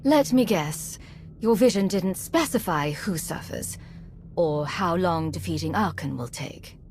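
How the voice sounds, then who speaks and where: A woman's voice: on the low side, expressive, a little slow, a little mellow, a little hiss or hum. An actress playing a cool, resolute female commander in a video game, speaking in a terse, controlled way. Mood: dry, resolute.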